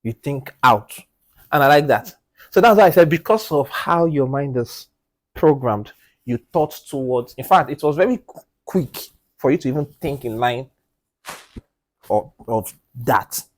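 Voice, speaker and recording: A man speaks on a studio podcast, lively and insistent. He swings from raised, emphatic delivery down to a low, hushed voice when driving a point home. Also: unhurried. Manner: animated and emphatic, repeats "do you understand?"; drops to a low, quiet voice when making a point. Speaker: podcast co-host. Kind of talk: casual group discussion.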